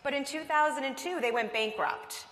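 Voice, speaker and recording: A fairly high-voiced woman from Vermont presents at a conference, chatty and anecdotal, leaning on personal stories. Her voice carries a long reverb from the hall around her. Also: moderate pace, fairly bright tone. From Vermont, USA. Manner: conversational, anecdotal, upbeat. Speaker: conference speaker.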